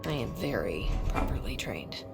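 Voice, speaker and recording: Loud music, wry guarded game heroine, low creaky voice, understated. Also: female voice, big pitch swings, moderate pace, full character voice. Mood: dry, wry. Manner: dry, understated. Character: wry, guarded adult female game protagonist, thinking aloud.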